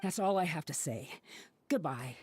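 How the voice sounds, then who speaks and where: A woman's voice: deep voice, expressive, normal speed, darkish tone, slightly rough. An actress playing an impatient, resentful young woman in a video game, speaking curtly. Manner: curt, resentful.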